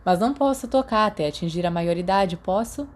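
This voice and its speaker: A woman's voice: mid-range voice, animated, fairly quick, a little hiss or hum, lightly acted. Woman reading as teasing, nosy teenage schoolboy. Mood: sly, suspicious. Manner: teasing, suspicious.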